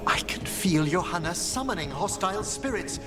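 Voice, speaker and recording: An older, learned necromancer gentleman in a video game. His delivery is formal, earnest and refined, with very varied pitch. Music plays clearly behind him. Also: lively intonation, normal speed. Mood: earnest, resolute. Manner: refined, formal, precise diction.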